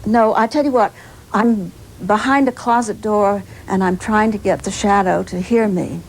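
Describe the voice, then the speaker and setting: An elderly woman, a former actress, talking casually in an interview in a halting, modest, matter-of-fact way. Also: mid-range voice, fairly even intonation, low-quality recording. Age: 70s or older.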